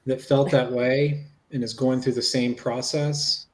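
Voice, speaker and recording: A man plays an investigator in a dramatic reading of a police interview. His pitch is average for a man. He speaks plainly and reassuringly, in a conversational way. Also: flat delivery, unhurried, natural voice, rough recording.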